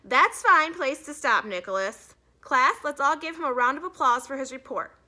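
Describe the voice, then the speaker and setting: A woman's voice: high voice, animated, normal speed, sharp tone, voice pushed up, full character voice. A woman voicing a stern, authoritative female schoolteacher in a storybook, lecturing with emphasis. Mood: cutting in.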